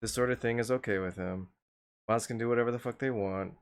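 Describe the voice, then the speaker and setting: A man's voice: mid-range voice, steady tone, fast talker, clear, resonant tone. A man talking casually in a voice chat, blunt and given to swearing.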